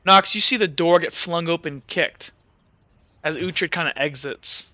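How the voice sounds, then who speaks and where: Rambling game master man, bright voice. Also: fairly high pitch, a little slow, no room sound. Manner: rambling, lots of fillers and hedges. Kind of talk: narrating a tabletop game. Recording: dull lo-fi audio.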